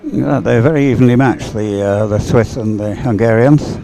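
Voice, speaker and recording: A man giving live, unscripted sports commentary in a halting way, thinking aloud as he watches. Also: mid-range voice, gravelly, dark tone, faint music behind, poor audio.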